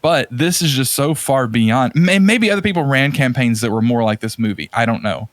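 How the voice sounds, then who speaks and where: A man's voice: medium pitch, expressive, moderate pace, dry recording. Exasperated, emphatic man in casual podcast chat. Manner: exasperated, emphatic, repeats words for stress. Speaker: podcast co-host. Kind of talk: casual opinionated movie chat.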